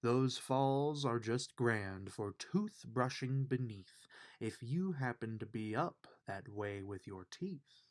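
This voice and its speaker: Man reading a storybook, slow and very expressive. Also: mid-range voice. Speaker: storybook reader. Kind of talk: reading a rhyming children's bedtime book aloud.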